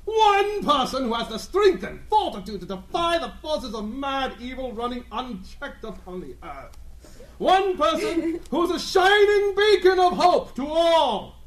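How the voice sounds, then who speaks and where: Pompous, high-pitched gentleman adventurer. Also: sing-song, measured pace, full character voice. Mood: bombastic narration. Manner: pompous, florid, declamatory. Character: pompous, self-adoring gentleman adventurer, grandly theatrical. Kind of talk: melodramatic comedic radio play acting.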